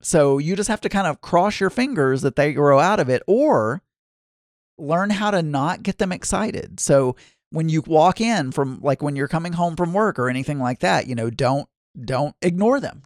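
A man's voice: on the high side, animated, moderate pace, no echo, studio quality. A man with a Southern accent chatting casually to viewers, rambling and often correcting himself mid-sentence. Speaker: vlogger. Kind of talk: casual chat.

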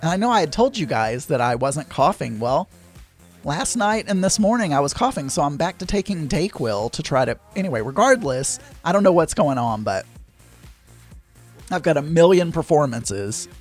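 A hoarse man talks in a loose, meandering podcast chat, his voice crackly and pitched a little above the usual for a man. He wanders off on tangents and pokes fun at himself. Faint music plays behind him. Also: very animated, moderate pace. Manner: chatty, digressive, self-deprecating. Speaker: podcast host. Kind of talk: rambling casual chat.

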